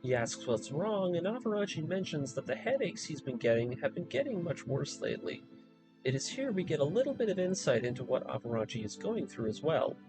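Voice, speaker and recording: A man giving a scripted story recap in a measured, explanatory storytelling manner, as the narrator of a lore video. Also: fairly high pitch, sing-song, music in the background, low-quality recording.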